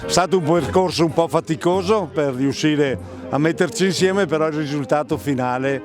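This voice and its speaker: A man with a fairly high voice gives an unscripted interview as a local official. His delivery is measured and broken by pauses, and music plays clearly underneath. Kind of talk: spoken news interview, unscripted.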